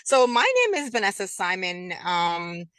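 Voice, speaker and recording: A woman in her fifties from Guyana, a guest in an interview, speaking softly and hesitantly with many pauses. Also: on the low side, expressive, no echo, studio quality. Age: 50s. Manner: soft-spoken, hesitant with frequent ums. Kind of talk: casual interview talk.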